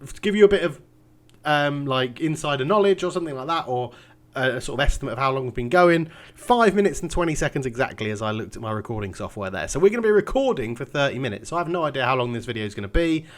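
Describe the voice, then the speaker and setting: A man's voice: medium pitch, lively intonation, fast. A man, congested and nasal from a cold, chatting casually through his football management game. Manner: chatty and rambling, self-mocking. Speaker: game commentator. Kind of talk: casual gaming commentary.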